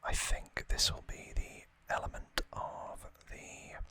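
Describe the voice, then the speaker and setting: Softly whispering man, slow ASMR talk. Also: no echo. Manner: whispering throughout, hesitant, thoughtful pauses. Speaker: ASMR video host. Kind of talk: whispered ASMR, unscripted explanatory unboxing talk.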